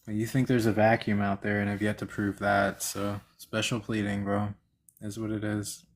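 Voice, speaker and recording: A man's voice: fairly low pitch, completely flat delivery, moderate pace. A man hosting a live stream, debating and chatting casually in a slangy, argumentative way.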